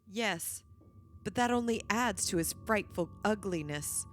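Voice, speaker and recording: A woman's voice: medium pitch, expressive, a little slow, a little hiss or hum, close to an everyday voice. A woman acting in a radio play as a polite, earnest young adult daughter who is uneasy.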